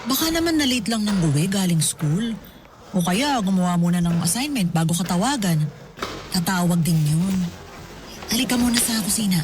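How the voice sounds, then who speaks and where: A middle-aged woman playing a warm, teasing mother in a radio drama, chatty and affectionate with her son. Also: medium pitch, expressive, moderate pace, noisy background, close to an everyday voice. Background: music.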